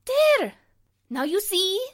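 Radio drama: imperious Spanish diva dancer, fiery and theatrical, very high voice, slow dramatic swings. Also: female voice, very animated, exaggerated voice. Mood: haughty, indignant. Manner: imperious, dramatic, broken English. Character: haughty, fiery foreign prima ballerina, easily offended. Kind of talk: 1940s radio drama acting.

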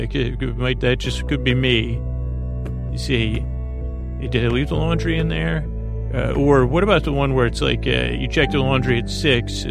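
A man hosting a bedtime podcast in a creaky, soothing voice, meandering hesitantly through absent-minded tangents. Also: medium pitch. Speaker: podcast host. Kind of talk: rambling bedtime sleep podcast monologue.

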